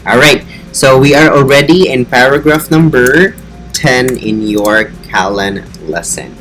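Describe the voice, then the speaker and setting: A man's voice: medium pitch, a little slow, fairly bright tone, soft background music, poor audio. A man with a Filipino accent, an online English teacher talking earnestly and encouragingly about his lessons. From Philippines. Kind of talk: casual teaching vlog.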